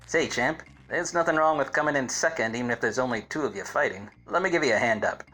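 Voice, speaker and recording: An actor playing a self-serious, obtuse private eye in a parody of 1940s detective radio plays, with melodramatic hard-boiled delivery. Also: male voice, mid-range voice, expressive, fast talker, bright, forceful tone, low-quality recording. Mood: breezy, cocky. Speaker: narrator and lead of a radio play. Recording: music under the voice.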